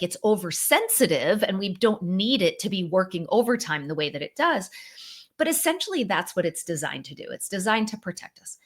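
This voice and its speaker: A middle-aged woman psychologist, a podcast guest, explaining ideas in an animated, conversational way, full of fillers like "like" and "you know.". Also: on the low side.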